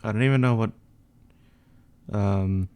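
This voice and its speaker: A man's voice: on the low side, unhurried, darkish tone, clean tone. A young man from Sweden hosting a casual livestream, reading out chat questions and answering in an offhand, mumbling way, swearing under his breath as he works. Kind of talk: casual livestream chat while editing images.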